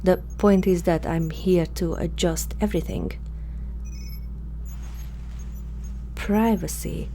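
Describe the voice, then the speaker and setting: A woman playing a curious, awkward alien scientist in human form, speaking hesitantly and softly to the listener in an ASMR roleplay. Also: lowish voice, fairly even intonation, gravelly. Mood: matter-of-fact, awkward. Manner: hesitant, halting, softly spoken, sometimes whispering. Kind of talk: ASMR roleplay, one-sided dialogue to the listener. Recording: faint background hum and noise.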